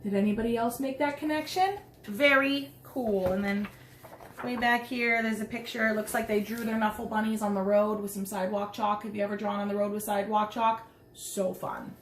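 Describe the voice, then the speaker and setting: A woman reading a picture book aloud to young children, expressive, with chatty asides and simple questions to her listeners. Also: medium pitch, moderate pace. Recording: roomy echo, some background noise.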